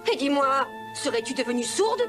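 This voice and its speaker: A high-pitched, imperious stepmother from a fairy-tale cartoon. She is haughty and cruel, every phrase an order from above. Music plays clearly under her voice. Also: sing-song, a little fast. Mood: stern scolding. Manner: scolding, imperious. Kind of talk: dubbed cartoon acting.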